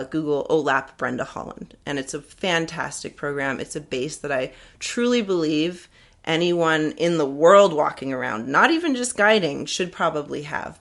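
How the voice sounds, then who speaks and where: A woman's voice: low voice, vocal fry, fairly bright tone. A woman, a climbing guide, talking frankly and earnestly in a casual interview, laughing easily. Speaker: climbing guide and podcast guest.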